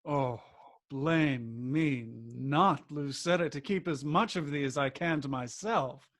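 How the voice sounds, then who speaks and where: A man's voice: on the high side, slow pace, fairly bright tone. A man acting an amorous, wanton lover in a theatrical period comedy.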